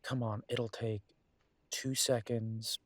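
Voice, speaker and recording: A man acting an affectionate, world-weary werewolf detective in an intimate audio drama, soft and coaxing with thoughtful pauses. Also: medium pitch, little pitch movement, dry recording. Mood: hesitant, resigned.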